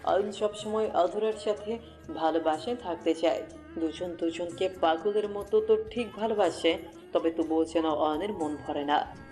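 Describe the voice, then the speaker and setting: A woman's voice: fairly low pitch, darkish tone. A woman reads a scripted romantic story as its narrator.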